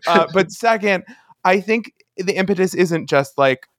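A man's voice: high voice, measured pace, a bit bright, dry recording, clean studio sound. A man co-hosting a podcast in casual fan discussion, gushing with dramatic emphasis. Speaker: podcast co-host.

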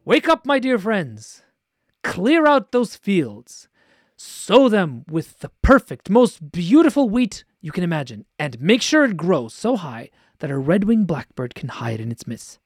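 A woman voicing a wise, kind young princess who speaks gently and reassuringly. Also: low-pitched, very animated, moderate pace, few pauses, husky, dry recording, clean studio sound, heavily acted.

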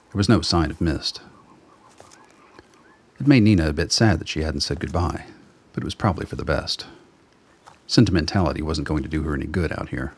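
A man's voice: a bass voice, breathy edge. A man narrating a scripted story for a radio play.

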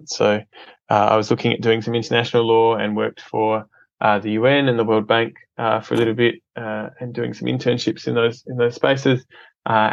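Australian man, interview guest, flat steady delivery, muffled lo-fi sound. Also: fairly low pitch, vocal fry, no echo. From Adelaide, Australia. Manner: earnest, often repeats words as he restarts. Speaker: podcast guest, film company head. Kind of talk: casual interview conversation.

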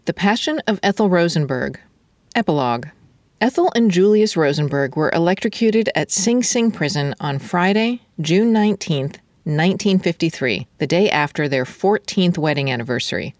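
A woman narrating a scripted epilogue in a measured, even manner. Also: on the low side, no echo.

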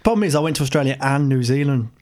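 A man's voice: mid-range voice, expressive, rapid-fire, a little mellow. A man hosting a podcast, chatting loosely with his co-hosts, swearing freely and laughing a lot, a bit tipsy. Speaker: podcast host. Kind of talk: casual banter with co-hosts.

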